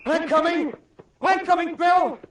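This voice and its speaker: A man playing an earnest, excitable young hero in an old-time radio horror drama. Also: extremely high pitch, unhurried, roomy sound, slight background noise. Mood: frantic. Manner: urgent, excitable. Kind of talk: 1940s radio horror acting.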